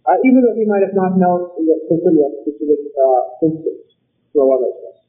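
A man giving an Islamic lecture in a measured, explanatory way, posing rhetorical questions and taking questions from the audience. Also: a little high, lively intonation, soft background music. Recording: tinny phone-like recording.

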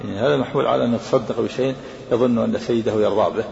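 Fast gravelly male lecturer in a hall. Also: mid-range voice, fairly even intonation, a little mellow. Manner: rapid, explanatory, repeats points. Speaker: scholar teaching hadith. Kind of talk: lecture explaining texts. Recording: echoey hall, dull lo-fi sound.

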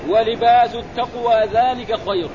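A man reads a grammar text aloud in class in short, formal phrases. His voice is very high for a man. The recording is muffled and lo-fi, with people chatting and traffic noise around him. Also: fairly even intonation, moderate pace. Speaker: student reading the text aloud. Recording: lo-fi with crowd and street noise.

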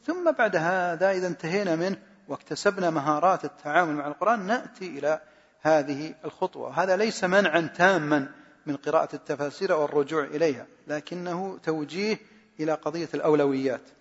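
Fast-talking husky man in an echoing hall. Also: on the high side. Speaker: lecturer answering questions on Quran study. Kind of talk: informal religious teaching, question and answer. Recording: muffled, echoing hall recording.

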